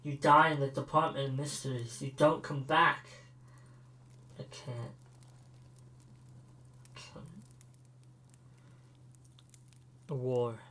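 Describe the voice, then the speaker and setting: A man playing an earnest, guarded, quietly supportive young man in a fan audio drama, speaking in a measured way. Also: medium pitch, fairly even intonation. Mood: anguished. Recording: faint hum behind the voice.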